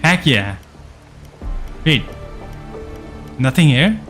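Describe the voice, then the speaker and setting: A man streaming a game, giving casual, halting live commentary in an informal way. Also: fairly high pitch, very animated, a bit bright, soft background music.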